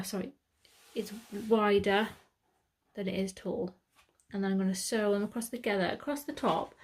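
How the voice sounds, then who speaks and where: A British woman giving a step-by-step sewing tutorial, chatting casually and correcting herself as she works. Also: medium pitch. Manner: chatty, self-correcting, thinking aloud.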